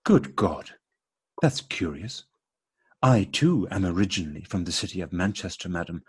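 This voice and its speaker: A man playing a courteous, puzzled gentleman with formal, mock-astonished delivery in an absurdist radio play. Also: low voice, animated, normal speed, slightly rough, full character voice. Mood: mock astonishment. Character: courteous, puzzled English gentleman meeting a lady. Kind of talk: absurdist stage dialogue read as radio play.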